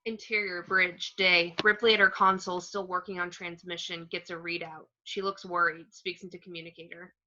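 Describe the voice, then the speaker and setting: A woman reading screenplay action descriptions as narration, in a plain, measured way. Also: lowish voice, flat delivery, sharp tone. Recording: slightly dull home microphone.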